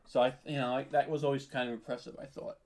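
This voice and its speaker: A man's voice: mid-range voice, level tone, fast talker, darkish tone. A man speaking as a podcast guest in casual, informal interview chat, hesitant and modest about himself. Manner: hesitant, self-deprecating, informal. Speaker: podcast guest, audio drama writer and producer.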